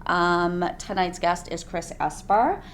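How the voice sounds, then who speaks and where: A woman's voice: on the low side, takes it slowly. A woman hosting a meetup, giving an informal, hesitant spoken introduction of a guest. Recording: faint room noise.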